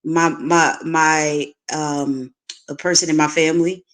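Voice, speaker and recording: A woman's voice: low voice, steady tone, slow. A woman speaking bluntly and frankly as a panelist in a casual livestream discussion, often restarting her sentences. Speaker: podcast panelist.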